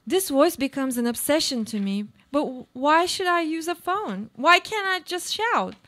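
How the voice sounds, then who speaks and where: A high female voice carries an actress's portrayal of an anguished woman, obsessive and haunted. The delivery is theatrical and inward-looking, a brooding monologue from a live radio play. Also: lively intonation, normal speed, a bit bright. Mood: bleak, obsessive.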